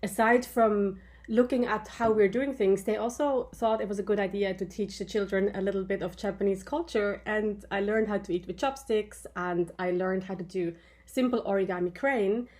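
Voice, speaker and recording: Guest interviewee, a woman from Switzerland, mid-pitched, relaxed and chatty, pauses with fillers. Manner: relaxed, thinks aloud with fillers. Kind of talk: casual conversational interview answers.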